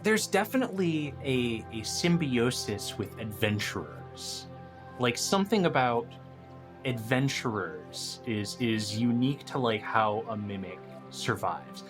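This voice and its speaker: A man talks casually as a podcast guest, his pitch moving in lively swings around an average register. He restarts his sentences as he works through ideas, with faint music underneath. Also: a little slow.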